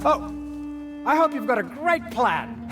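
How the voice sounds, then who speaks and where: Brief: a shrewd game mercenary captain, higher voice, slow and measured, score underneath. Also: male voice, big pitch swings, exaggerated voice. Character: shrewd coin-minded male mercenary captain. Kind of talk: video game cutscene acting. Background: game score music.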